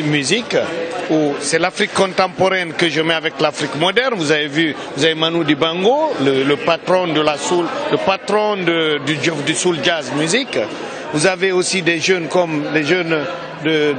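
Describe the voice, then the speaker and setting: Festival founder, man from Guinea, higher voice, crowd noise behind, eager interview talk. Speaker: festival founder and city councillor. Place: outdoors at a busy festival. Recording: noisy crowd around. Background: crowd chatter.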